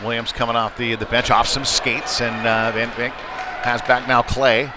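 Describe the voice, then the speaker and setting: A man with a medium-pitched voice commentates a live hockey game. He follows the play in short, clipped phrases and gets excited on big plays. The noise of an indoor rink is clearly heard behind him. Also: moderate pace.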